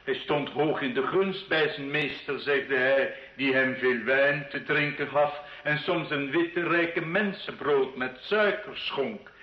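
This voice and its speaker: An actor playing a humble father giving measured, formal testimony about his missing son in a radio play. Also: medium pitch, lightly acted. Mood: sorrowful, humble. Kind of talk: old Dutch-language radio play acting. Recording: dull, echoey old radio recording.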